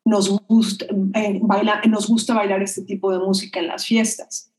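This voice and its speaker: A woman, explaining her ideas as a guest in a measured, thoughtful way. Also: mid-range voice, flat delivery, a little mellow. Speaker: guest expert. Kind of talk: explanatory conversation. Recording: roomy echo.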